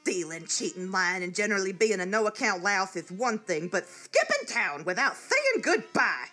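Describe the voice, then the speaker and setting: A woman's voice: mid-range voice, very animated, moderate pace, bright, forceful tone, soft background music. A woman playing a bossy, exasperated and suspicious small-town woman, emphatic and melodramatic, in a comedy radio play. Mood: determined, indignant. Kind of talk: live comedy radio theatre acting.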